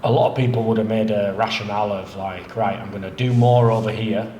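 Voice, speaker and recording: A man's voice: on the low side, a little fast. A man with a cold and a slightly nasal voice, chatting casually as a jiu-jitsu coach about opening a new gym. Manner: relaxed, rambling, many fillers. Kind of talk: casual chat. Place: inside a martial arts gym. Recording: echoey room with faint noise.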